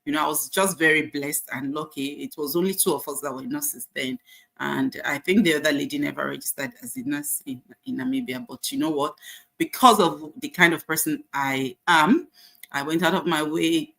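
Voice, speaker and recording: Nigerian woman in her forties, gravelly voice. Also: low-pitched, moderate pace. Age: 40s. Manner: warm, faith-filled, encouraging, with many fillers. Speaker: nurse sharing her story. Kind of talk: casual personal testimony.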